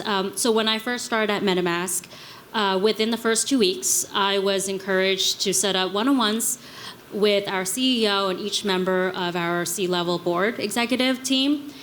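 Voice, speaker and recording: A woman's voice: medium pitch, fairly bright tone. A woman on a panel sharing work experience with tentative, hesitant phrasing. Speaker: panelist, chief of staff. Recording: clear background noise, live event ambience.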